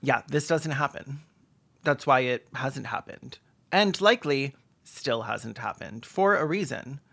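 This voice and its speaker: A man's voice: mid-range voice, expressive, unhurried, vocal fry, fairly bright tone. A man doing sarcastic, snarky comedic movie commentary as a video host, with dramatic emphasis. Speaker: film reaction video host.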